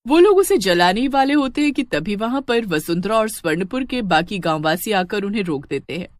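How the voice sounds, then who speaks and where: A woman's voice: medium pitch, lively intonation, fairly quick, no room sound. Woman narrating a story, close dry sound. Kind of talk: scripted narration of a cartoon horror story.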